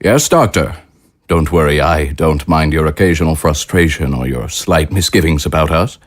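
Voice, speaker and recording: Deep-voiced, pompous statesman. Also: moderate pace. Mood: grandly reassuring. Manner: formal, courtly, pompous. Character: smooth, grandiloquent male head of state, graciously self-important. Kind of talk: audio drama acting.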